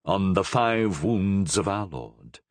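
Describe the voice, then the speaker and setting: A man voicing a stern, commanding cardinal who speaks in a grave, measured way. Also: sits low, animated, no room sound.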